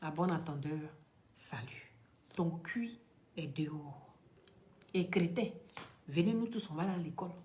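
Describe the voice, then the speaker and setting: A woman from Ivory Coast with an Ivorian French accent, giving impassioned commentary straight to the camera, preachy and emphatic, swinging from loud peaks to quiet, near-whispered asides. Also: low voice, animated, a little slow, long pauses, a little hiss or hum. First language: Dioula or another Ivorian language. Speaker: social media commentator.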